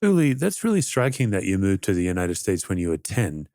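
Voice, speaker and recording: A man's voice: deep voice, very animated, a little fast, a little mellow, no room sound, clean studio sound. A man hosting a podcast interview, curious and drawing his guest out with questions. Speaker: podcast host.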